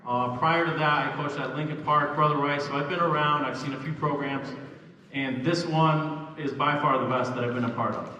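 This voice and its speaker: Young male coach, self-deprecating speech, slightly high voice, far off mic, room noise. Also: fairly even intonation, moderate pace, pushed and bright, lo-fi sound. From Poland, raised in Canada. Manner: self-deprecating, chuckling asides. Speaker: freshman basketball coach. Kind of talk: banquet speech. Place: large echoey hall. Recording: echoey, distant hall recording with background noise.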